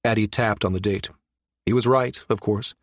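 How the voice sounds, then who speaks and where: A man's voice: lowish voice, fairly even intonation, moderate pace, no room sound, studio quality. A man reading a science fiction audiobook as scripted narration.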